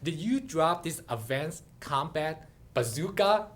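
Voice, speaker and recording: A wise, kindly old goddess of storybook legend, voiced by a man. The speech is solemn and guiding, unhurried and with expressive pitch. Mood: questioning.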